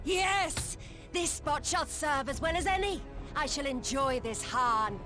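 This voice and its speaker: High-voiced, fierce warrior woman. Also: lively intonation, slow pace. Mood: exultant. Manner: declamatory, archaic formal speech. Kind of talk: video game voice acting. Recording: game music behind the voice.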